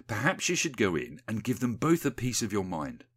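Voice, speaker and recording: A man's voice: fairly low pitch, wildly swooping pitch, moderate pace, breathy edge, heavily acted. A man voicing a weary, sighing, gossipy village woman in a satirical storybook parody. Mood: weary resignation.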